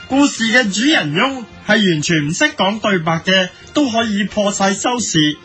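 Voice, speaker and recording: A man's voice: sits high, a bit bright. A man with a Hong Kong Cantonese accent giving mock-serious editorial commentary as a host, in a theatrical, mock-earnest manner. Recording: faint music bed under voice. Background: music.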